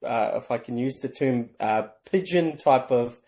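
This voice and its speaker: A man talks as an interview guest, in a warm, mellow tone of middle pitch. He tells his story in a measured way and pokes gentle fun at himself. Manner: measured, storytelling, light self-deprecating humor. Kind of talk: conversational interview.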